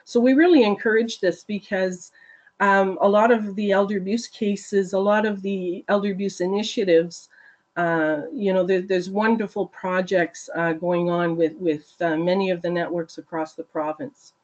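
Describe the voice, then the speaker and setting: A woman working as a regional consultant, giving a steady, informative webinar presentation over a video call. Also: medium pitch, moderate pace, highs cut off.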